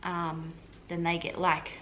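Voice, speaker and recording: A woman's voice: fairly low pitch, monotone, slow pace, a bit bright, a little hiss or hum, poor audio. An Australian woman, a hospital admin officer, chatting casually to camera in a rambling way with lots of fillers and restarts. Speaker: health administration officer and vlogger. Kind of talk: casual vlog explaining to camera.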